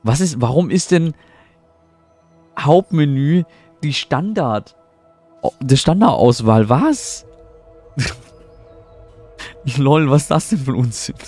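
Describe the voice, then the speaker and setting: A man's voice: fairly high pitch, expressive, takes it slowly, long pauses, darkish tone. A middle-aged man chatting casually while streaming a game from home, rambling and answering his chat, often puzzled. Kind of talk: casual live gameplay commentary. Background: faint game music.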